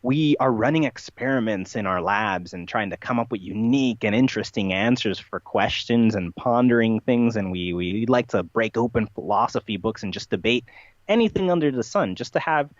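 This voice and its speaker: A man's voice: on the low side, expressive, moderate pace, dry recording, lo-fi sound. A man talking as a podcast guest in a conversational, rambling way, making absurd claims matter-of-factly. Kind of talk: conversational interview talk, playful tongue-in-cheek persona.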